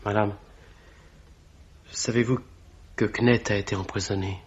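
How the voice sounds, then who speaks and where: An actor playing a young amateur investigator in a French radio mystery, putting questions in a measured, insistent way. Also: male voice, lowish voice, fairly even intonation, close to an everyday voice. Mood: probing. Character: young amateur investigator, probing and level-headed. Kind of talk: French 1950s-style radio mystery acting. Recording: dull vintage radio-drama recording.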